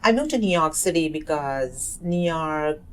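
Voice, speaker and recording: A woman's voice: deep voice, expressive, a little slow. A woman in her thirties from South Asia, a native Hindi speaker, giving hesitant interview answers with many fillers and restarts. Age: 30s. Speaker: oral history narrator. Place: community center room.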